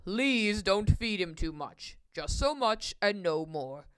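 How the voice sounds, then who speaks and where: A woman's voice: mid-range voice, expressive, slow pace, clean tone, no echo, voice pushed down. A woman voicing a weary, scolding older man from a children's storybook. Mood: firm, advising. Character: stern, weary old fish-shop man, Mister Carp.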